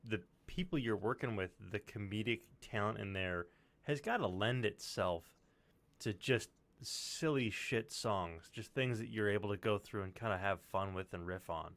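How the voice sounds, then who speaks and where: A man's voice: fairly low pitch, moderate pace, fairly bright tone. A man co-hosting a live stream who runs the tech and chats in a laid-back, reassuring way. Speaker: co-host running the stream. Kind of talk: casual live-stream chat.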